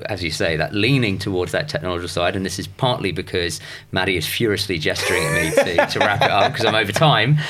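A man's voice: low-pitched, a bit bright. A man hosting a business podcast, asking long framed questions and giving short backchannels. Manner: measured, frequent short backchannels. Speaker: podcast host. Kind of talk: interview hosting.

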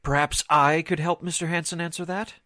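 An actor playing a smug, catty columnist's assistant in a 1940s-style radio drama, with arch, sarcastic insinuation. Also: male voice, fairly high pitch, steady tone, brisk, bright, forceful tone, no echo, studio quality. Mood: sly, insinuating.

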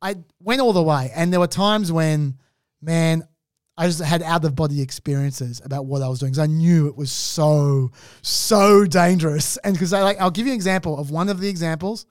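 An Australian man telling a story on a podcast in an animated way, laughing often and restarting his sentences. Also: a little high, moderate pace, no echo, clean studio sound. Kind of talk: casual storytelling chat.

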